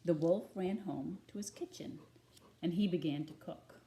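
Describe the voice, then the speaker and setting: Mellow-voiced woman reading a children's story. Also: on the low side, measured pace, poor audio. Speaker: storybook reader. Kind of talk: read-aloud children's storytelling.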